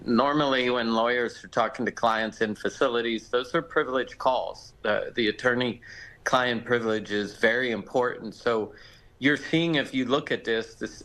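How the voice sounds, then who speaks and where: An American man speaks as a legal expert in a live news interview. His mid-pitched voice is conversational and explanatory, like a lawyer laying out an argument. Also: fairly bright tone, poor audio.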